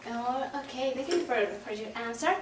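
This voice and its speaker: A woman's voice: fairly high pitch, normal speed. A woman conducting an interview, reading questions in a hesitant way in a dim room at home. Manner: hesitant, with fillers and restarts. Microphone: far camera. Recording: echoey room, distant mic, faint noise.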